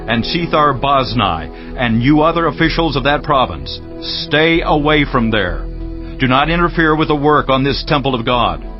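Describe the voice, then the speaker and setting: An actor playing a stern, commanding Persian king issuing a royal decree in formal, measured, authoritative speech. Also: mid-range voice, lightly acted. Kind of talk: dramatized scripture reading. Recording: dull lo-fi audio under clear music. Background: music.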